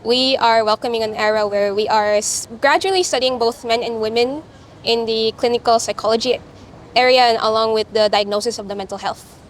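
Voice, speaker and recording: A woman's voice: fairly high pitch, steady tone, brisk, a little hiss or hum. Chatty young Filipino-accented woman. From Philippines. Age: young adult. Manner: chatty, giggly, searching for words. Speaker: interviewee, psychology student. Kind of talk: casual chat. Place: coffee shop in a mall.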